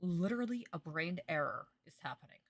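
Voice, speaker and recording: A woman's voice: on the low side, expressive, a little fast, fairly bright tone, no echo. A young woman streaming a live reaction, talking in an exasperated, sarcastic way with plenty of swearing.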